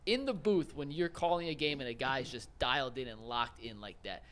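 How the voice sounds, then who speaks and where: A man's voice: mid-range voice, a little fast, a bit bright. A man on a sports show panel, putting questions to a guest in casual talk.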